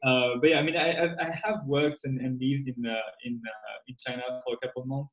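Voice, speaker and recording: A young man, a native French speaker with a French accent, answering a podcast host's questions in a thoughtful, measured way. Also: mid-range voice, steady tone, fairly bright tone, roomy sound, lo-fi sound. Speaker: podcast guest. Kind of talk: casual podcast interview.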